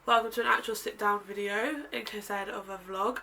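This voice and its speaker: A woman's voice: medium pitch, fairly even intonation, moderate pace, vocal fry, very noisy. A woman in her twenties, nasal and bunged up, chatting and rambling in a casual sit-down vlog. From United Kingdom. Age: 20s. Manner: rambling, chatty, self-correcting. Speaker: vlogger. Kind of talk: casual sit-down chat to camera. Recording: distant mic with faint room ambience.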